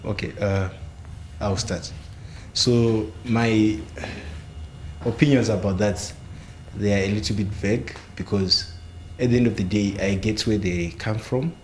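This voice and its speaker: Low-voiced man on a panel. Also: steady tone, a little hiss or hum. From Zimbabwe. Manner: measured, searching for words with many fillers. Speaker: panelist, software engineering student. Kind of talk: panel discussion.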